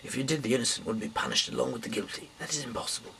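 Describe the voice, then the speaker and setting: A man reading scripture aloud informally in a halting way, repeating and stumbling over words, with a raspy, breathy voice that often trails off. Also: medium pitch, bright, forceful tone, slight background noise. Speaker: amateur Bible reader.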